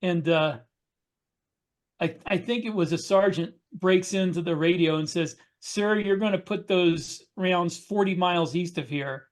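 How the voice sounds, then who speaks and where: A man's voice: sits high, unhurried. A middle-aged man chatting casually on a podcast, telling anecdotes in hesitant pieces. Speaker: podcast co-host. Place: indoor room on a video call.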